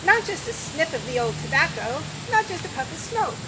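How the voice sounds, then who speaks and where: Female announcer, persuasive vintage commercial read, high pitch, very noisy broadcast recording. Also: big pitch swings, fairly quick. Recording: very noisy old radio broadcast.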